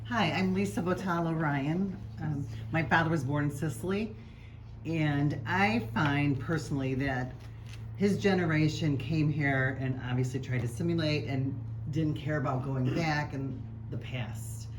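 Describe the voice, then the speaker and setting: A woman's voice: sits low, measured pace, rough recording. A woman from the audience introducing herself in an earnest, plain-spoken way. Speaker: audience member. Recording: background noise.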